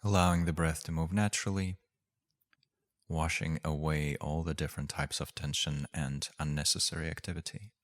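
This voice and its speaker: A man leading a guided meditation as a teacher, speaking gently and unhurriedly with long pauses. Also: extremely low pitch, steady tone.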